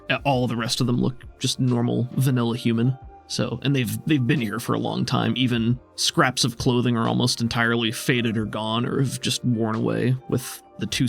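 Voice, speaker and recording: A man's voice: mid-range voice, gravelly. A man narrating a tabletop roleplaying game as game master, conversational and descriptive.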